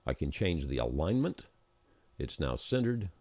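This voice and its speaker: A man with a deep, mellow voice walks through software steps as an instructor. His intonation moves around a good deal while he explains each click in an informal, practical way. It is a screencast-style voice-over. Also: big pitch swings, a little slow, no room sound. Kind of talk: informal step-by-step screencast narration.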